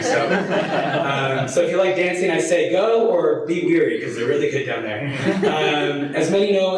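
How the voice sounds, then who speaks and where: A young man from Colombia, a fundraising consultant, giving a friendly, joking presentation to a parish audience with many fillers. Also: on the high side. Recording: distant mic in a very echoey hall.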